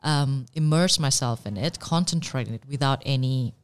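A woman, telling her story in a casual interview, animated and full of fillers and restarts. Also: very deep voice, moderate pace, clear, resonant tone. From Indonesia. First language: Indonesian. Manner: animated, many fillers and restarts, laughs readily. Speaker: pastry chef and business owner.

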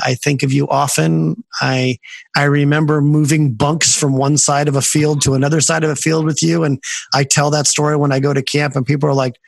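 Gravelly-voiced podcast host man. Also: medium pitch, no room sound. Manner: friendly, rambling with many fillers and restarts. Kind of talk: casual interview chat. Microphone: video call.

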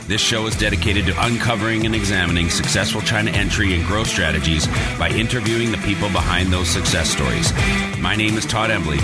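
A man hosting a business podcast, reading a measured scripted intro and then asking loose questions with fillers. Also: low-pitched. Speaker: podcast host.

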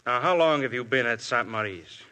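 An actor playing a shrewd police inspector who questions people with smooth persistence, in a 1950s radio drama. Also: male voice, mid-range voice, expressive, a little fast, sharp tone. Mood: probing. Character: shrewd, probing middle-aged police inspector.

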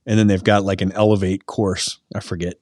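Podcast co-host: man, lowish voice, dry close mic, loose and filler-heavy. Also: little pitch movement, fairly quick, a little mellow, dry recording. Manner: rambling, many fillers, laughs easily. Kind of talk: casual podcast chat.

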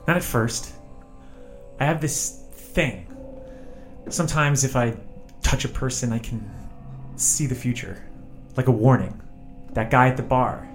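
A man's voice: mid-range voice, unhurried, pauses often, faint music behind, lightly acted. A man playing a wary, grieving former test subject with psychic visions in an audio drama, speaking in an earnest, defensive way. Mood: guarded, confiding.

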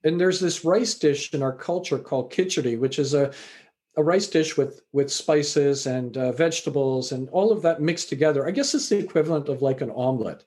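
A middle-aged man from Canada with a fairly high voice, telling his story in a reflective, conversational way. He often pauses on fillers as he gathers his thoughts, as an author would in an interview. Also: a little mellow. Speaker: author and university lecturer.

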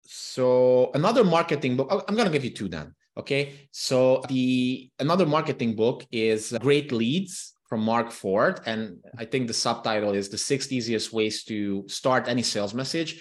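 A man's voice: medium pitch, moderate pace, hardly any pauses. A man from Transylvania whose first language is Hungarian, chatting as a podcast guest, often restarting and repeating words as he tells stories. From Transylvania, Romania. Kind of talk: casual interview talk.

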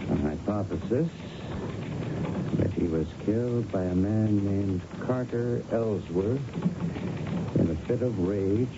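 Through heavy noise on an old radio recording, a methodical detective. He speaks slowly in a deep voice, formal and dry. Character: measured, methodical police investigator. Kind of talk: 1970s radio drama acting. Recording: muffled radio broadcast with loud noise.

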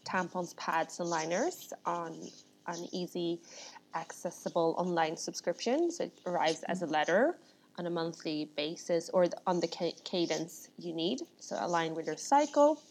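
Chatty low-voiced woman. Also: lively intonation, normal speed, a little mellow. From Sweden. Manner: chatty, rambling with many restarts. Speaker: startup founder, guest. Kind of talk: casual interview chat.

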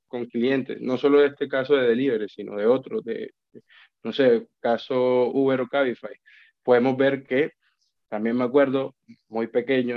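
A man's voice: mid-range voice, no room sound. Colombian man, dull dry sound. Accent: Colombian Spanish. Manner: reflective, many restarts and repeated words. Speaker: guest, account manager. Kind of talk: casual podcast conversation.

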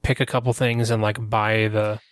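A man cohosting a podcast in casual chat, laid-back and halting, his voice starting to crack. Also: fairly low pitch, level tone, no room sound. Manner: laid-back, brief replies and laughs.

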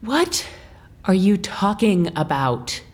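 No-nonsense lady agent in a radio play, blunt n indignant, pitch jumps about a lot. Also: very animated, slow pace. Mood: firm, impatient. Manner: blunt and sarcastic. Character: blunt, indignant, sweary female intelligence agent. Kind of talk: audio drama acting.

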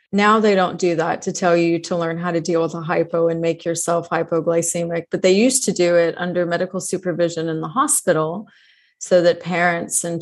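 A woman hosting a podcast interview, introducing her guest and asking questions in an earnest, conversational way. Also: lowish voice. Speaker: podcast host.

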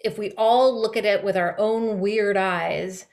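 A woman's voice: medium pitch, steady tone, unhurried, darkish tone. A woman in a reflective, informal conversation, thinking aloud as a director and producer. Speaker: audio drama director and producer.

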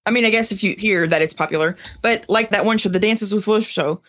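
Female co-host, average pitch, informal chat, slightly hesitant phrasing. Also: brisk, a bit bright. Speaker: podcast co-host. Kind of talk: casual chat.

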